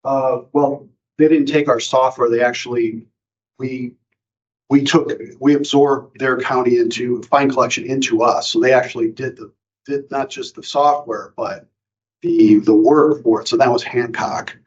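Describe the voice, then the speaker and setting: Halting man presenting at a meeting. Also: mid-range voice, steady tone. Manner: halting, many fillers and restarts. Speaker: county staff presenting to board. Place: county board meeting room. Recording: dull lo-fi meeting recording.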